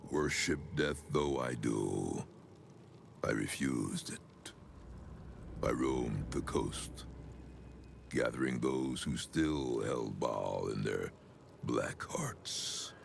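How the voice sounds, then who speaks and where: Ancient sinister cult elder, video game, heavy gravelly rasp, slow solemn menace, faint noise. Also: male voice, full character voice. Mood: brooding. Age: older. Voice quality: very deep gravelly voice. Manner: solemn, measured, archaic phrasing. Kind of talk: dramatic video game monologue.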